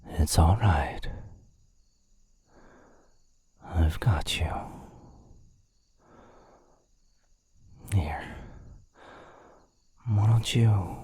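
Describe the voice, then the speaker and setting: A man playing a gentle, protective vampire gentleman, breathy and soothing, in a close-miked boyfriend ASMR roleplay. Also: low voice, heavily acted. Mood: urgent reassurance. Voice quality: breathy, husky, whispery. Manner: soothing, apologetic. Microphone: close mic, dry.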